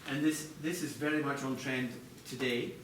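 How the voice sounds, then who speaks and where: A man presenting product design live to a group, explaining in a halting way with corporate phrasing. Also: mid-range voice, steady tone. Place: large echoey indoor venue, apparently a hotel. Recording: echoey noisy room, distant mic.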